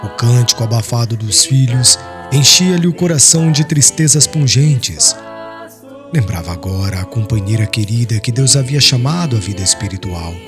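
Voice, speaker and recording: A Brazilian man narrates an audiobook in a solemn literary style. His voice sits at a medium pitch, with faint music underneath. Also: lively intonation, moderate pace. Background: soft music.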